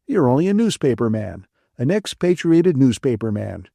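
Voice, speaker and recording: A man's voice: big pitch swings, normal speed, vocal fry, dark tone, no echo. An audiobook narrator voicing a teasing, wisecracking young man who lectures his friend in a playful, mocking way. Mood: bantering. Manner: mock-lecturing, bantering, high and lilting. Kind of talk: audiobook character voice.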